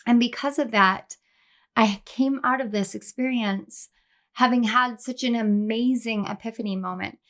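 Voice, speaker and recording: A woman with a slightly scratchy, soft voice from throat strain, chatting affectionately as a tarot reader, speaking close to her microphone. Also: fairly high pitch, a little slow. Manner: chatty and affectionate, many fillers. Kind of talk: casual spiritual vlog chat.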